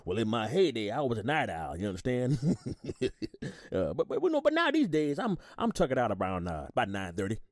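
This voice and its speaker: A man's voice: medium pitch, wildly swooping pitch, brisk, dry recording, clean studio sound. A man playing an older retired army sergeant on the witness stand in an audio drama, folksy and gossipy, rambling and repeating himself. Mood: defensive, folksy. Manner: folksy, rambling, repeats words, hums agreement. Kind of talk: audio drama acting, courtroom testimony.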